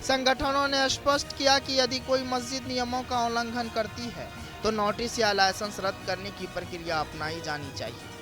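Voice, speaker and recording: A man reading a dramatic scripted news report as a voice-over. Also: very high voice. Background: music bed.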